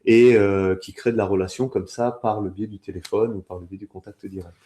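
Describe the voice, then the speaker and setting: A man working as a sales trainer, explaining step by step in a conversational way, often pausing mid-phrase to rephrase. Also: on the low side, steady tone, dark tone.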